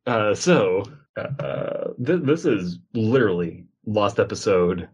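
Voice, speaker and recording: Husky low-voiced man, casual podcast banter. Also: animated, takes it slowly, dull audio. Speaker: podcast host. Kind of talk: casual banter and reading aloud.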